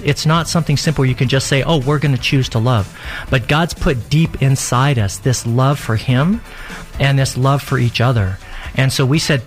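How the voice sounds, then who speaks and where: A man, a worship pastor guesting on a radio interview, telling stories in a calm, sincere way. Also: medium pitch. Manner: reflective storyteller, calm and sincere.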